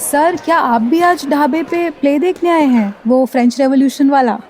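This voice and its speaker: A woman's voice: high voice, moderate pace, a little mellow, a little hiss or hum, lightly acted. A young woman playing a curious, polite university student asking her teacher questions in a radio play. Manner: inquisitive, polite.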